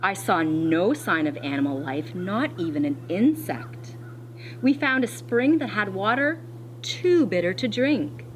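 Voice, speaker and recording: Woman, elementary teacher, very animated intonation, deliberate instructive storybook reading, some background noise. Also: mid-range voice, rough recording. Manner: deliberate, instructive, pausing to explain. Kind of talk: expressive children's read-aloud with explanatory asides.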